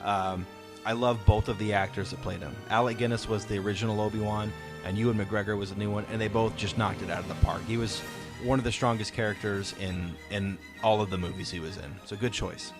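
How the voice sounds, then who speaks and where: A man with a lowish voice hosts a relaxed fan discussion. He explains his ideas with emphasis and likes to pose questions and answer them. Light music plays behind him. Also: a little fast. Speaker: podcast host.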